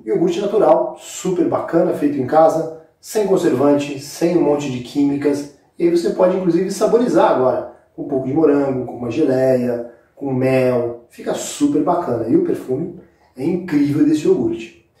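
The sound is strongly reverberant, picked up a little away from the microphone in an indoor kitchen. A Brazilian man with a mellow, mid-pitched voice hosts a cooking lesson. He talks informally and directly to viewers, walking them through each step. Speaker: cooking show host. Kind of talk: casual step-by-step recipe demonstration. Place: indoor kitchen set with brick wall. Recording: very echoey room, distant microphone.

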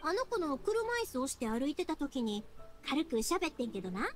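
An earnest young woman from an anime game, speaking with a Kansai accent. The voice is high and very expressive, with folksy, heartfelt delivery. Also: normal speed, soft background music. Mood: upbeat. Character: bright, earnest young woman fireworks maker. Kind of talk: Japanese anime game voice acting.

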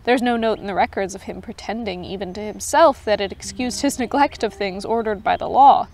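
A woman voicing a gentle but authoritative unseen advocate who speaks kindly and with measured calm. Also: medium pitch, slight background noise, natural voice. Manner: kind, measured, pleading.

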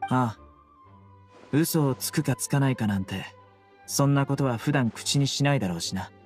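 Man acting a stern, deadpan young guardian. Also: mid-range voice, moderate pace, faint music behind, close to an everyday voice. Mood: serious. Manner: terse, serious, deadpan. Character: stern, stoic young male guardian, proud. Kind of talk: Japanese anime game voice acting.

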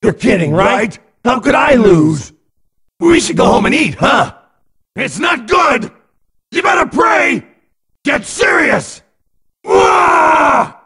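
A high, raspy fused warrior hurls taunts and battle cries at full shout. His bright, strained voice leaps up and down in lively swings, each line bitten off dry and close to the mic. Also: takes it slowly, pauses often, no echo, exaggerated voice. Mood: cocky taunting. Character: cocky fused male warrior, young adult. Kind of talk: video game voice clips. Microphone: studio recording.

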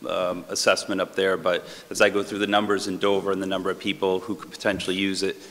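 A middle-aged man giving a polite, measured public comment as a resident at a town hearing, with frequent ums. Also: sits low, level tone, a bit bright, a little hiss or hum. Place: large hall, standing in the audience. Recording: reverberant hall.

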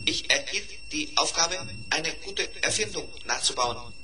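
Young robot pupil, played by an actor: polite, earnest, measured diction, children's audio drama, music behind. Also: mid-range voice, expressive, close to an everyday voice. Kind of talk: 1970s German children's radio play acting.

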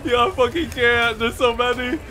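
A young man streaming a horror game from his room, reacting loudly with constant swearing and trash talk. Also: very high voice, fairly even intonation, moderate pace, slight background noise. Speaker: gamer streamer. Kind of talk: live gameplay reactions. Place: small room at home, indoors.

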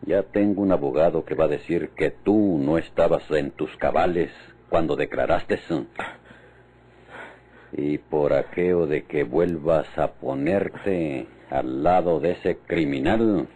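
An actor playing a cold-blooded, taunting villain with a husky voice and a Mexican accent, in old radio drama style. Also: male voice, a bass voice, mellow, full character voice. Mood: threatening. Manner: slow, taunting. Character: menacing, cold-blooded male villain taunting his victim.

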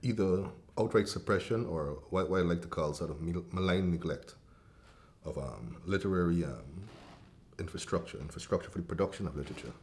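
A man's voice: low voice, brisk, darkish tone. A man in his forties with a Guyanese accent, answering interview questions as a writer in a hesitant, thoughtful way, full of ums, restarts and coughs, seated in an indoor room with a fireplace. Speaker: writer and cultural policy advisor.

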